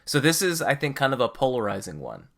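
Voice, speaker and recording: A man's voice: medium pitch, huge pitch swings, fast talker, vocal fry, fairly bright tone. A man co-hosting a podcast, explaining things in a casual, conversational way. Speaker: podcast co-host.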